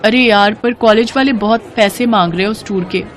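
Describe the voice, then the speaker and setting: A woman's voice: medium pitch, normal speed, natural voice. A woman voicing a modest, principled young college woman in a cartoon story, earnest and restrained with a hurt edge. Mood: reluctant, hurt. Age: young adult.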